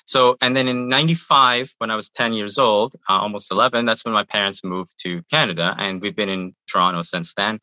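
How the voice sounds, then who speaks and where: Bright-voiced Iranian-born man, chatty. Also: on the low side, fairly quick, few pauses, dry recording, muffled recording. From Iran. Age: 30s. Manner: chatty, repeats words and says "uh" a lot. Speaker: guest, YouTuber. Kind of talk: casual interview answers.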